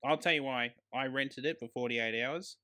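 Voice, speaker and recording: A man's voice: mid-range voice, moderate pace, bright, forceful tone. An Australian man co-hosting a podcast, discussing films casually and enthusiastically with emphatic, sweeping claims. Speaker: podcast co-host.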